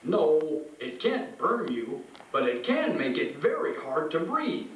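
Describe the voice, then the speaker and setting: Man doing a high, animated puppet teacher. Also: slow pace, lo-fi sound. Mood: cheerful, instructive. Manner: expressive, instructive, addressing children directly. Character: friendly animated puppet teacher explaining safety to children. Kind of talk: scripted children's puppet show. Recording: echoey room, distant, faint noise.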